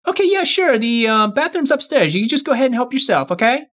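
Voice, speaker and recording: A man's voice: very high-pitched, sing-song, quick pace, fairly bright tone, heavily acted. A man playing an easygoing, agreeable cousin in a casual video game roleplay. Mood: obliging. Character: easygoing, obliging man hosting his visiting cousin.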